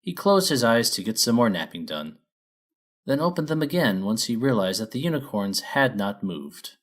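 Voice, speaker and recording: A man's voice: medium pitch, sing-song, moderate pace. A man reading a children's fantasy story as narrator, in scripted storybook narration.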